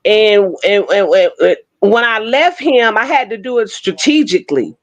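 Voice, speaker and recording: A woman in her fifties chatting frankly on an online panel, telling her own stories with lively, blunt humor. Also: medium pitch, moderate pace. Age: 50s. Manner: frank, animated storytelling with Southern-inflected slang. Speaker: panel co-host. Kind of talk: casual chat. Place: Oklahoma City.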